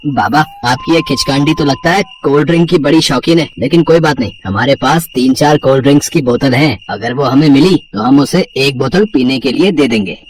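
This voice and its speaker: A man's voice: a little high, lively intonation, a little fast, recorded from a distance, slight background noise, close to an everyday voice. A man playing a carefree young college student, mocking and slangy, in a horror audio story. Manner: joking, dismissive, slangy.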